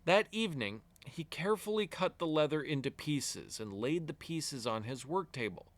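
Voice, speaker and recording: A man reading a fairy tale aloud to children as an expressive storyteller, with deliberate storybook phrasing. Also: on the high side, dry recording.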